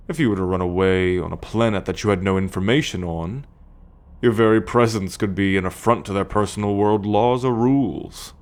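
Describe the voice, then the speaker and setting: A man playing a sardonic, coolly possessive male alien captor in an ASMR roleplay, speaking deliberately with a teasing condescension. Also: fairly low pitch, natural voice. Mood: quiet warning. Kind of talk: ASMR roleplay monologue addressed to the listener.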